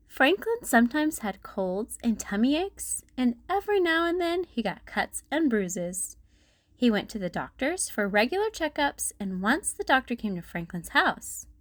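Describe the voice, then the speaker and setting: A woman narrating a children's storybook as a read-aloud host, in a deliberate storytelling manner. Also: fairly high pitch, big pitch swings, dry recording.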